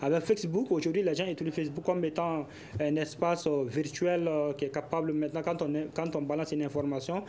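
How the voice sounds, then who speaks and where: A young man, a guest expert on a studio panel, explaining in a measured way with frequent hesitations. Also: on the high side, steady tone, dark tone, a little hiss or hum. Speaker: researcher guest. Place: television studio.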